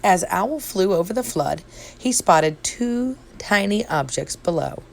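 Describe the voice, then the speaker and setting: A woman narrating a children's story as an expressive read-aloud. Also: mid-range voice, unhurried, gravelly. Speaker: storybook reader.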